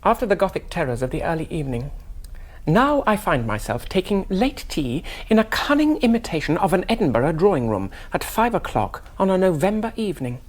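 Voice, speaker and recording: An actor playing a polite, naive young English gentleman in a radio drama, genteel and hesitant. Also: sits high, big pitch swings, brisk. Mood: wondering. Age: young adult. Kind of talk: BBC radio drama acting and first-person narration.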